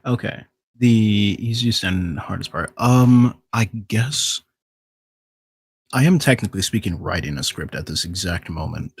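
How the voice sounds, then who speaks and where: A man with a gravelly voice talking casually as a podcast guest, thinking aloud in an informal way. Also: on the low side, expressive, unhurried, no echo. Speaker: podcast guest, voice actor and video maker. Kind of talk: casual panel talk.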